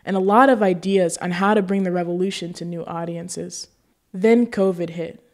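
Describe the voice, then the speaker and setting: A woman hosting a show, reading scripted narration and appeals to viewers in an earnest, deliberate way. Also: lowish voice, a little mellow. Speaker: show host.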